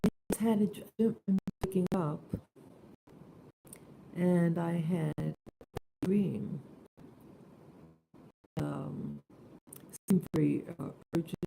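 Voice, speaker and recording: Hoarse, soft-spoken woman with low gravelly voice. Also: takes it slowly, pauses often, a little hiss or hum, low-quality recording. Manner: soft-spoken, hesitant with many fillers. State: hoarse from just waking. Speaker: vlogger. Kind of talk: casual morning vlog about dreams.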